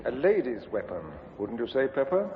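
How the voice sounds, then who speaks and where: An actor playing a stern, disapproving police inspector in an old radio drama. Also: male voice, medium pitch, big pitch swings, normal speed, slight background noise. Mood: wry. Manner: grave, disapproving. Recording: tinny echoey old radio recording.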